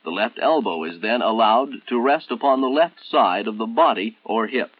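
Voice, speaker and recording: An American man narrating a 1960s military training film in a formal, measured, instructional manner, on an old film soundtrack. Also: fairly low pitch, lively intonation, dry recording, phone-quality sound.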